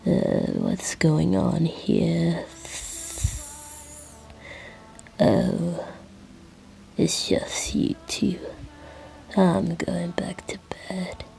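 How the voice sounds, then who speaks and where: A woman acts out a tipsy, clingy male serpent demon in a roleplay story. The voice is a slow, mellow, half-whispered murmur, drowsy and low in energy. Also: wildly swooping pitch, gravelly, exaggerated voice, poor audio. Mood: drowsy, dismissive. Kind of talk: fan-fiction roleplay voicing.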